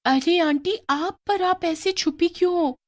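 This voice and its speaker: A woman voicing a cheerful schoolgirl who loves street snacks, bubbly and excited. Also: very high-pitched, a little slow, dry recording, studio quality, pitched above the performer's own voice. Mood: curious.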